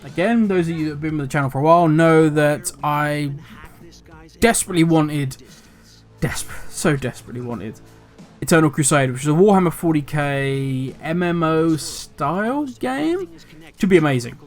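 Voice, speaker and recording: Older male gaming host, slightly raised pitch, rambling and grumpy in tone. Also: animated, moderate pace, faint music behind. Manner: talkative, rambling, self-correcting; cynical, grumbling. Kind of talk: casual commentary video.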